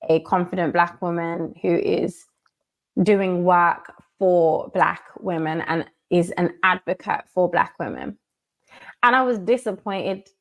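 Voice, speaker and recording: A woman from the United Kingdom giving commentary in a measured, emphatic way, repeating her key phrases. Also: lowish voice. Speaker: commentator in a played video. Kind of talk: monologue commentary.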